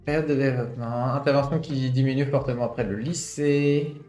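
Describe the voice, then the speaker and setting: A man's voice: mid-range voice, steady tone, brisk, a little mellow. A man in his late twenties streaming, reading a study aloud and adding his own reflective commentary full of fillers. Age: late 20s. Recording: roomy echo with faint guitar music.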